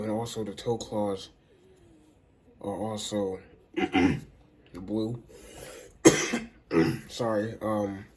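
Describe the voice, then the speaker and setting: A man with a congested voice, casually reviewing a toy in a rambling, halting way. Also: lowish voice, a little mellow, husky. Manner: rambling, halting, restarts sentences often. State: congested, stuffy nose.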